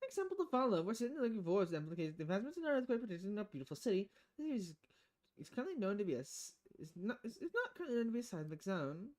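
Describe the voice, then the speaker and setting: A woman's voice: medium pitch, sing-song, very fast, darkish tone. A woman streaming a visual novel, reading the text aloud expressively and often stumbling and correcting herself. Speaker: video game streamer.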